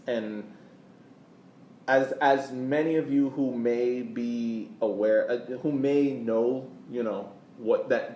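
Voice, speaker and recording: A man co-hosting a casual sports podcast, explaining things in a halting way, often repeating words as he goes. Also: mid-range voice, darkish tone. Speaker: podcast co-host. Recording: roomy echo, clear background noise.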